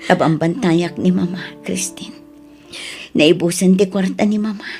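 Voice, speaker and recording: A woman's voice: fairly low pitch, measured pace, darkish tone. A woman acting a caring, burdened elder sister in an audio drama, speaking with earnest concern. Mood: worried. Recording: music bed under the voice.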